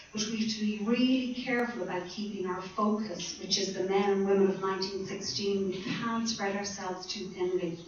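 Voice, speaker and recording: An Irish woman committee member setting out her objections at a meeting in a measured way, with frequent ums. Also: mid-range voice, a little hiss or hum. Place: hotel function room. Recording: echoey hall, distant mic, dull lo-fi sound.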